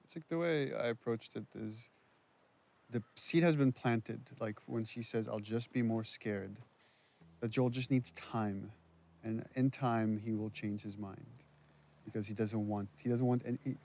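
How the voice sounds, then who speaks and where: A man's voice: on the low side, steady tone, a little slow, creaky, a little mellow. A man giving casual, explanatory commentary as a game's writer and director. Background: game cut-scene sound.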